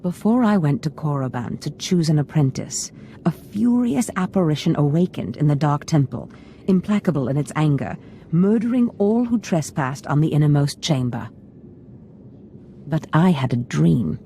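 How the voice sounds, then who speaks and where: An actress playing a scheming, ambitious female Sith lord in a video game, speaking in a measured, imperious, portentous manner. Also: low voice, animated, dark tone, slight background noise. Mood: solemn, ominous. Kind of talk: scripted video game dialogue.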